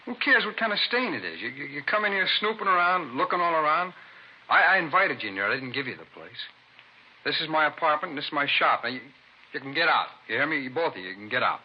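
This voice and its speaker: A man's voice: on the high side, big pitch swings, fast talker, husky, muffled recording. A man acting an evasive, defensive murder suspect in a 1950s radio drama, stammering when pressed, on a vintage recording. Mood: agitated, angry.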